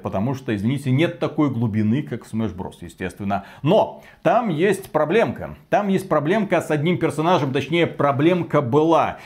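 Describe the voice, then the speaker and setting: A man's voice: mid-range voice, a little mellow. Man hosting casual gaming news, opinionated. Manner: opinionated, colloquial, with mild crude slang. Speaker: gaming news show co-host. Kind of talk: conversational news commentary with personal opinions.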